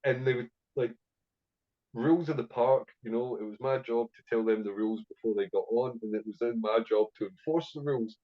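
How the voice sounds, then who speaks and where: A man's voice: medium pitch, a little fast, highs cut off. A man with a Scottish accent telling stories as a podcast guest, swearing freely and rambling with plenty of fillers. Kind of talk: casual storytelling interview.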